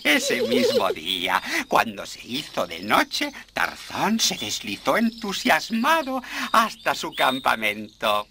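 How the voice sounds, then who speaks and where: An actor narrating a cartoon jungle tale as a measured storyteller. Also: male voice, medium pitch, huge pitch swings, sharp tone, a little hiss or hum, exaggerated voice. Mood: storytelling.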